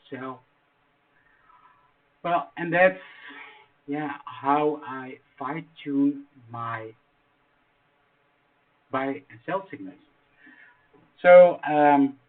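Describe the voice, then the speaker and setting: Dutch-accented man, tinny phone-like sound. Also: medium pitch. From Netherlands. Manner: halting, many fillers and restarts. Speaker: trading strategy explainer. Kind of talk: screen-share tutorial.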